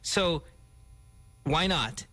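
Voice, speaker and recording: Chatty radio host, fairly high voice. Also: male voice, expressive, drawn-out words, bright, forceful tone, no room sound. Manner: chatty, joking, switches into Tagalog phrases. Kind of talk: casual morning radio chat.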